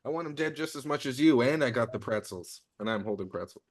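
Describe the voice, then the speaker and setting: A man in a comedy script table read playing an earnest, work-minded agent who speaks plainly and a little haltingly. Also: medium pitch, lively intonation, lightly acted. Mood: earnest, wary. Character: earnest, work-minded male secret agent, uneasy partner.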